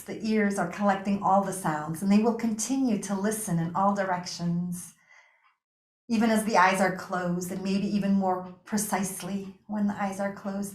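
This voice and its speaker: Female meditation guide, mid-range voice, gentle and calming delivery with lingering pauses, recorded in a reverberant room. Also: mid-range voice. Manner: gentle, soothing, unhurried with pauses. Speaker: yoga nidra meditation teacher. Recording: echoey room.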